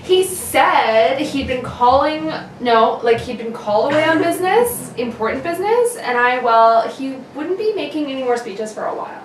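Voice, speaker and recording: Woman as a halting, high-voiced hotel clerk. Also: lively intonation. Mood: hesitant, apologetic. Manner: halting, says "like" often. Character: hesitant, rambling young hotel clerk. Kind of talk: amateur recreation of 1940s radio drama. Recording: distant echoey room, noisy.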